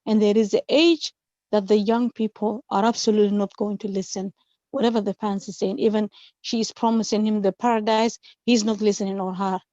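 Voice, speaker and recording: A woman joins an online community meeting and speaks in a measured, hesitant way. Her voice is warm and mellow. The sound is dry and muffled, like a lo-fi call. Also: medium pitch, steady tone, no room sound. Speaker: participant in a community discussion. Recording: dull dry lo-fi line.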